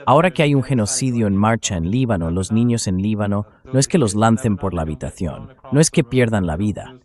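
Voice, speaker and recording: A man's voice: on the low side, animated, fairly quick, a little mellow. A man giving conversational, emphatic political commentary as an interview guest, often digressing as he talks.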